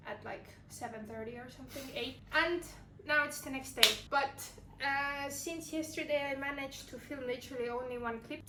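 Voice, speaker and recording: A young woman from Estonia vlogging in a casual, rambling way, full of fillers and self-corrections, speaking English as a second language. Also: fairly high pitch. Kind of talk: casual vlog chat. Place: cafe. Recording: echoey with loud background noise.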